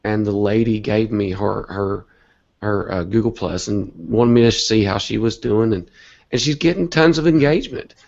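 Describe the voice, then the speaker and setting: A man with a cold and a hoarse voice, talking earnestly and a bit rambling as an interview guest. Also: fairly low pitch, normal speed, a little mellow. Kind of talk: casual online interview talk. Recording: dull lo-fi web call audio.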